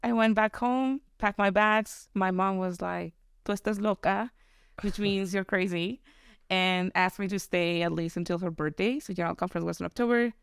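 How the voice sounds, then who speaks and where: A woman from Mexico with a Mexican Spanish accent and Spanish as her first language, a podcast guest telling her story in casual chat with quick asides and frequent laughs. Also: medium pitch, fairly even intonation, vocal fry, clean tone, no room sound, clean studio sound.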